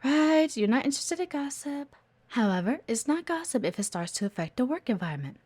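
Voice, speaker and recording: A woman's voice: high voice, sing-song, fairly quick, a little mellow, breathy edge, heavily acted. A woman playing a playful, teasing, observant executive assistant in an intimate one-sided audio roleplay. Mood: coy, gossipy. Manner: coy, teasing, chatty, with murmured asides.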